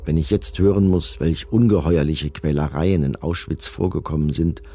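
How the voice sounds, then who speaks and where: A man's voice: a bass voice, normal speed, dark tone. An actor playing a cold camp commandant reading his testimony in a matter-of-fact, self-justifying manner. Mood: self-righteous, cold.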